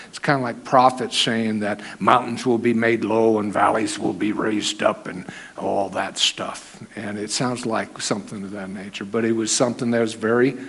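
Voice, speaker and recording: A man teaching a sermon in church, with a naturally loud voice held down, talking in a conversational way and asking the congregation questions. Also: on the low side, breathy edge. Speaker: preacher. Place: church hall.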